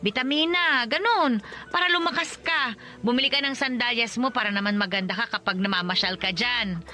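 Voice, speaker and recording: A woman's voice: a little high, a little fast, sharp tone. A woman playing an older, loving rural Filipino mother in a radio drama, fussing and chatty in a Filipino accent. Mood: concerned, tender.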